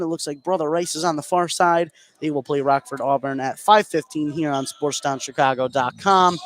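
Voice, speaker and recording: A man doing live play-by-play basketball commentary on radio, getting excited on big plays. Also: on the high side. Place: indoor school basketball gym. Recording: noisy gym ambience behind.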